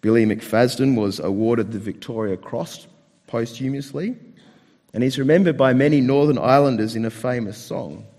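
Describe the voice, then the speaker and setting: Slightly croaky pastor in a reverberant hall. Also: male voice, medium pitch, normal speed, darkish tone. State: slightly hoarse. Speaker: pastor preaching. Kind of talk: sermon with storytelling. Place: church hall, speaking to a congregation. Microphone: microphone with amplification. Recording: long hall reverb through PA microphone.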